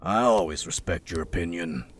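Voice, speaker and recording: An actor playing a grumpy, skeptical city cop in an audio drama, raspy, curt and sardonic. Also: male voice, sits low, normal speed, a little hiss or hum, natural voice. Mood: gruff, skeptical. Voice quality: gravelly, raspy.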